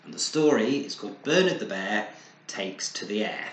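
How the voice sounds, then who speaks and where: A man reading a children's story aloud expressively, with dramatic pauses and acted sound words. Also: on the low side, expressive. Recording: roomy echo, faint background noise.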